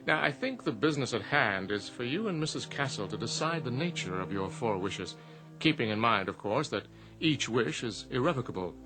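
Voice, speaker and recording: Urbane, smug male genie, played by a man, formal and theatrical, lively swooping pitch, radio drama with faint music. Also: normal speed, natural voice. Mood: suave, teasing.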